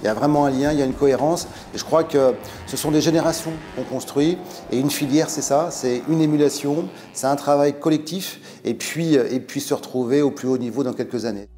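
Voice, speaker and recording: Mellow-voiced man in an earnest interview. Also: medium pitch, fairly quick.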